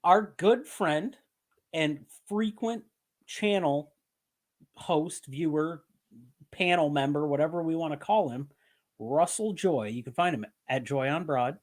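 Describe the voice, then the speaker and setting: A man's voice: a little high, takes it slowly. A man co-hosting a podcast, rambling through jokey stories in casual, profane banter. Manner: joking, rambling storytelling. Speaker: wrestling podcast co-host.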